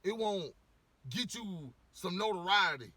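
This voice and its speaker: A man ranting casually to camera, a little hoarse from losing his voice, rambling with lots of "you know" and swearing bluntly. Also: high-pitched, animated, unhurried, pushed and bright, dry recording. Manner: rambling, repeats words, frequent "you know". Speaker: vlogger giving opinions.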